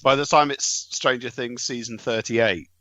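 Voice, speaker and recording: A man's voice: mid-range voice, steady tone, normal speed, fairly bright tone, highs cut off. A man in casual podcast discussion, speaking in wordy, articulate phrases. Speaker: podcast panellist.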